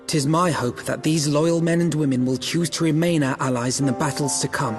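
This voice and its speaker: A dignified young leader from a fantasy game, played by a man, earnest and formal. His fairly high male voice moves in measured, archaic phrases, with long hall reverb and faint music underneath. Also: close to an everyday voice. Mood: solemn, earnest. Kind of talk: fantasy video game acting, archaic speech.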